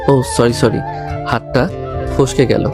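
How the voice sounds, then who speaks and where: A man's voice: mid-range voice, flat delivery, unhurried, loud music behind the voice, natural voice. A man playing a cheeky young servant husband in a romantic audio story, teasing and mock-deferential.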